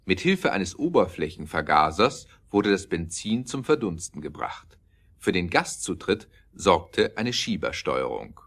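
Male narrator, very deep register, scripted storytelling for audio drama. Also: big pitch swings, a little slow, fairly bright tone.

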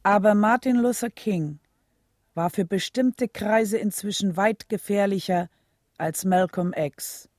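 A mellow, husky, solemn wife. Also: female voice, medium pitch, takes it slowly, no room sound, clean studio sound. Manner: solemn, restrained, clearly phrased storytelling. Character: composed, grieving wife of a civil rights leader. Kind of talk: scripted radio drama narration and dialogue.

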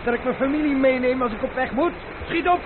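An actor playing a gruff, impatient messenger in a historical radio play. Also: male voice, very high-pitched, fast talker. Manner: brusque, impatient. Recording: noisy, tinny, band-limited old radio drama.

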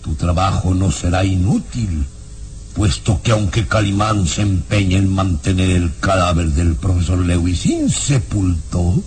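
A man's voice: low voice, expressive, measured pace, a little mellow. An actor playing a sinister, secretive baron in a vintage radio serial, gloating theatrically as he speaks.

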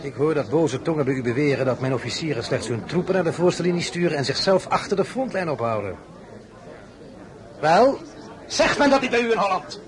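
An actor playing an imperious French emperor in a radio drama, pompous, sardonic and smoothly commanding, shifting between grand address and pointed asides. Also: male voice, a little high, very animated, a little fast, crowd noise. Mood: mocking, grandiose.

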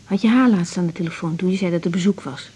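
A woman's voice: on the low side, quick pace, mellow, natural voice. An actress in a radio drama playing a young woman doctor, hurt and bluntly confrontational, over a noisy recording. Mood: suspicious, hurt. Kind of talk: naturalistic 1980s radio drama acting.

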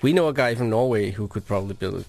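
A Danish man co-hosting a radio show in English, chatty with quick interjections. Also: lowish voice, creaky, darkish tone. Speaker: radio host.

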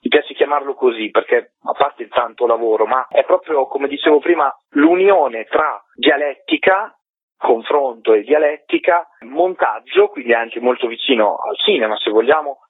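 Husky man on a tinny phone line. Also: medium pitch, moderate pace, dry recording. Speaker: interviewed documentary co-director. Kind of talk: phone interview, explanatory talk. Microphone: telephone.

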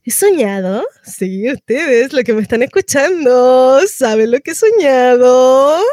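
A woman's voice: sits high, animated, takes it slowly, darkish tone, dry recording, studio quality. An actress with a Chilean accent playing a frantic, sardonic pregnant woman in an exclamatory, melodramatic theatrical monologue. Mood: wry, confiding. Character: frantic, sardonic pregnant woman going through labour and motherhood.

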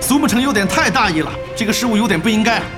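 An actor playing an excitable male esports commentator in an audio drama, calling the match play by play with emphatic delivery. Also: high-pitched. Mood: explaining. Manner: emphatic, drawn-out exclamations. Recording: loud music behind commentary.

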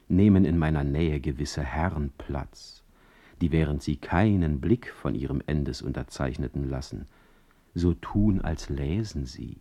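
A man playing a witty exiled 19th-century poet in a radio play, voicing his letters with urbane, mock-polite irony and deliberate phrasing. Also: very deep voice, mellow, full character voice. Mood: mocking, scornful.

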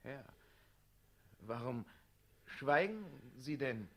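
A man's voice: medium pitch, big pitch swings, drawn-out words, fairly bright tone, full character voice. An actor playing a servile, opportunistic son who informs on his father, glib and self-excusing, in a radio play. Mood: ingratiating, eager. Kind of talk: 1960s German radio play acting.

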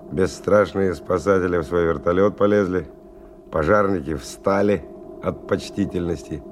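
A man's voice: deep voice, a little hiss or hum. An actor playing a tough, cynical stalker who tells his story in a colloquial, sardonic radio-drama monologue with dramatic swings. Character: tough, cynical male stalker narrating his dangerous expedition. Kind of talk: 1990 radio drama first-person monologue. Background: music.

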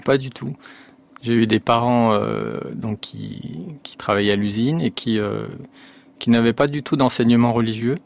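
A man's voice: medium pitch, lively intonation, moderate pace, vocal fry. A man in his forties, born in France, a novelist answering radio interview questions with many fillers and restarts. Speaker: novelist guest.

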